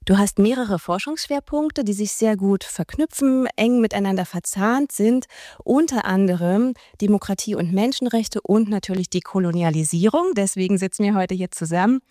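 Woman host, formal interviewer, polite and slightly read-out, mellow, dry. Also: mid-range voice, moderate pace, no room sound.

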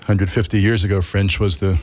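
A man's voice: lowish voice, level tone, fairly quick, a little mellow. A man interviewing a musician, asking rambling questions with many false starts. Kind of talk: casual interview.

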